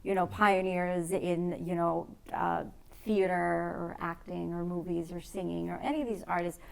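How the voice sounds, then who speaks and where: A woman's voice: lowish voice, level tone, normal speed, creaky, a little mellow, clear, resonant tone. A woman interviewing as a talk show host in an encouraging, conversational way.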